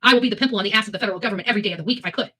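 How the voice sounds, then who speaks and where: A woman's voice: mid-range voice, racing, pushed and bright, breathy edge. A woman hosting live opinion commentary, speaking bluntly and emphatically, repeating phrases for weight and swearing freely. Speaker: host of a live commentary show.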